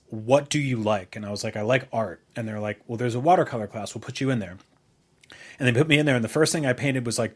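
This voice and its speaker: Man, medium pitch, casual podcast co-host, rambling storyteller, enthusiastic, sweary. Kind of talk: casual chat.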